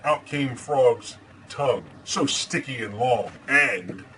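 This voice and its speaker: A man playing Batman as an earnest, deadpan superhero host who chats casually and reads storybooks aloud, with some hesitation. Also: mid-range voice, slow pace, a little hiss or hum, poor audio. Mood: storytelling.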